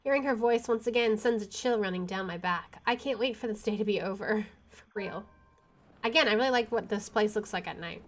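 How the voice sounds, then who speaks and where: A woman's voice: mid-range voice, brisk. A woman streamer reading visual novel narration aloud, expressively, with casual chatty asides. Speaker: video game streamer.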